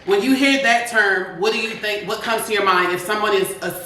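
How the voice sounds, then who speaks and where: A woman's voice: medium pitch, brisk, bright, forceful tone, distant mic, slight background noise. A woman from the DC co-hosting a casual talk show, telling stories and reading viewer comments aloud. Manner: storytelling, reads aloud, laughs often. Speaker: podcast co-host. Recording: very echoey room.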